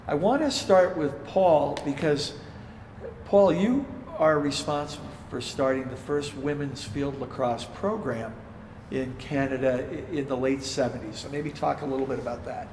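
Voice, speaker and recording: A man's voice: mid-range voice, moderate pace, a little mellow. A man hosting an interview in a casual way, stumbling a little as he starts his sentences. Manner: casual, stumbling on opening words. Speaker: interviewer, host. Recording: echoey room, long reverb, faint noise.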